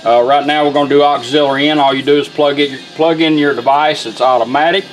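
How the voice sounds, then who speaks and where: A Southern American man walks through a product in a folksy, unhurried way. His voice sits a little high for a man, and there is some music behind him. He talks plainly and casually, like a hands-on restorer showing his work. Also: fairly even intonation. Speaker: radio restorer demonstrating a product.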